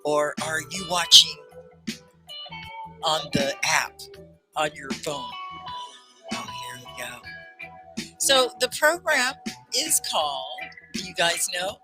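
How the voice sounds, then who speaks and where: Give me a cheerful American woman teaching English live, with a lowish, lively voice. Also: takes it slowly, pauses often, over music, rough recording. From United States. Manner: cheerful, greets viewers by name, laughs often. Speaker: English teacher and livestream host. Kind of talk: livestream class chat. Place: home studio near Arkansas–Oklahoma border.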